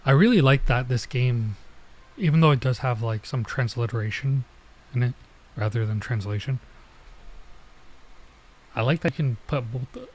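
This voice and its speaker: A man's voice: mid-range voice, moderate pace, pauses often, poor audio. A man streaming gameplay with casual commentary, thinking aloud in a hesitant, rambling way. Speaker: streamer, virtual YouTuber.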